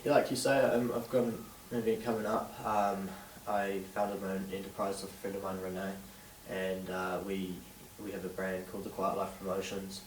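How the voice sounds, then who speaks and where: A young man in his late teens with a New Zealand accent, answering interview questions haltingly with many fillers. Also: sits low, level tone, slight background noise. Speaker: interviewee, young DJ and event organiser. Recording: distant roomy camera sound with faint ambience.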